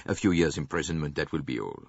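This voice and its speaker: Man acting a hearty, husky, excitable gentleman. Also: a bass voice, quick pace, no room sound, muffled recording, pitched below the performer's own voice, heavily acted. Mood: cordial, satisfied. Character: cordial, excitable, confident gentleman, easily flustered sidekick.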